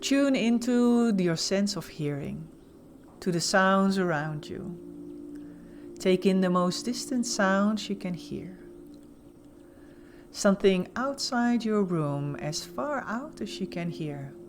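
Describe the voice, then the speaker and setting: Slow-paced woman guiding meditation. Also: mid-range voice, lively intonation, noisy background. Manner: soothing, gentle, softly spoken instructions with pauses. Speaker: guided meditation instructor. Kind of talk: guided meditation narration.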